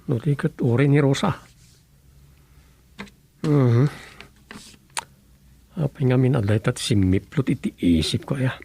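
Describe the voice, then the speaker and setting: A man's voice: medium pitch, animated, measured pace, vocal fry, pauses often, dark tone, husky, full character voice. A man playing a writer who hesitantly mutters and thinks aloud in a radio drama. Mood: pondering, muttering. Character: musing male drama writer talking to himself. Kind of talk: radio drama comedy acting.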